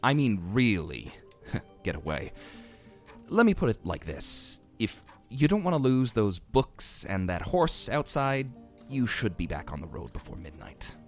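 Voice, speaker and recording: A man's voice: fairly low pitch, sing-song, measured pace, soft background music. Swoopy, secretive man innkeeper acted out. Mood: dry, businesslike. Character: secretive, dry, affable innkeeper of a mysterious tavern. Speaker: actor in a fantasy audio drama. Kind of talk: fantasy podcast acting.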